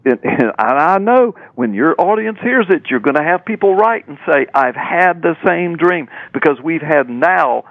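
Older male radio guest, slightly high voice, emphatic repeating storyteller, muffled dry line. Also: expressive, unhurried, few pauses, no echo. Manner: emphatic, repetitive, dramatic storyteller. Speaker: radio guest, preacher and author. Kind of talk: animated personal storytelling in an interview.

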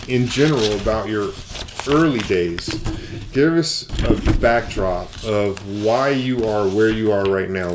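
A man with a fairly low voice hosts an interview show. He sounds upbeat and encouraging as he introduces his guest and asks questions. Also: noisy background. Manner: upbeat, encouraging, frequent backchannels. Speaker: show host. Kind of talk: interview hosting.

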